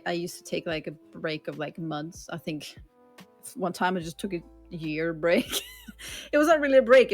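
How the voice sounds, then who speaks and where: A Swedish woman chats as a streamer guest in a loose, rambling way, full of fillers and quick giggles. Her voice sits a little low for a woman. Faint music plays behind her. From Sweden. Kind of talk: casual interview chat.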